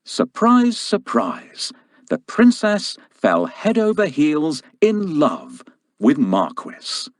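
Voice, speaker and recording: A man narrating a children's story from a script in an expressive, deliberate way. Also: on the high side, no echo, clean studio sound.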